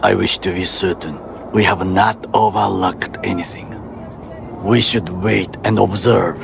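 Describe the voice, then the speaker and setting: Game role: dutiful, measured ex-bodyguard, middle-aged, Japanese accent, deep and creaky. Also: male voice, fairly even intonation, noisy background, heavily acted. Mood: grave, cautious. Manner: formal, measured, clipped phrasing. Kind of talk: video game voice acting.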